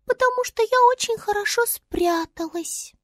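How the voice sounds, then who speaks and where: High, dramatic little star girl. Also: moderate pace, a bit bright, no room sound, clean studio sound. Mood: huffy. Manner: theatrical, indignant, plaintive. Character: small shaggy fallen star girl, touchy, dramatic, tearful.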